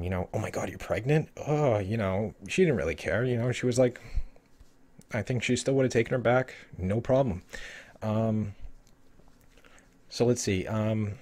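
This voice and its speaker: Low-voiced man rambling through a review. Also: darkish tone. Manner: rambling, many fillers and hedges. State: losing his voice. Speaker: show reviewer. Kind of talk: casual solo review talk.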